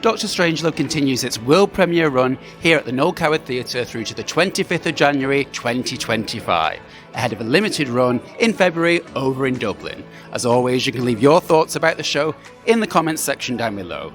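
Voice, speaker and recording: A man's voice: medium pitch, expressive, brisk, fairly bright tone. A man presenting a scripted online video segment in a chirpy, presenterly way. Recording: faint music behind. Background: faint music.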